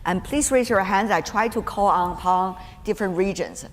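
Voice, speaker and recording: Woman moderator in an echoey hall. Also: medium pitch. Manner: brisk, directs audience questions. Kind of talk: moderating a panel. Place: conference hall. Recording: echoey hall with faint room noise.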